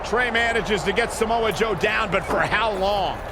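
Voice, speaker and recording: A man playing an excited wrestling play-by-play announcer, calling the action in an emphatic announcer's delivery. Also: sits high, normal speed, loud music behind the voice. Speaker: lead wrestling play-by-play commentator. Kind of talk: pro wrestling commentary. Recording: loud crowd and slamming arena noise.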